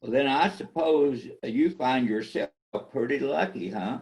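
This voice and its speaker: A man's voice: medium pitch, a little slow, creaky, a little mellow, poor audio. A man playing a plainspoken, folksy small-town lawman in an amateur readers theater mystery. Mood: probing. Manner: plainspoken, countrified turns of phrase.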